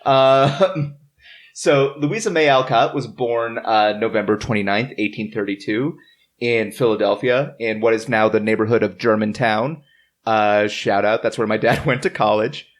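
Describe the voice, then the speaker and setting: A man's voice: fairly low pitch, measured pace. Man hosting a casual informative podcast. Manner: joking, wry asides. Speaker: podcast host. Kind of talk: casual informative chat, reading from notes. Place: in-person group around a table.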